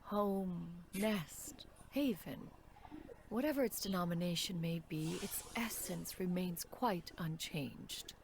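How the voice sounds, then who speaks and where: An actress playing a dignified immortal crane adeptus and mentor in a video game, speaking in formal, archaic phrases. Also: female voice, fairly low pitch, takes it slowly, vocal fry, a little hiss or hum. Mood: gentle, wise. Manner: formal, archaic, refers to self as "one". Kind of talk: scripted game character dialogue.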